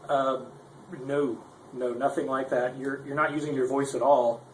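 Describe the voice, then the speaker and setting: A man's voice: medium pitch, level tone, moderate pace. A man giving an informal, unscripted gear demonstration in a rambling, self-deprecating, conversational way, as the presenter of a guitar tutorial. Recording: echoey room with faint noise.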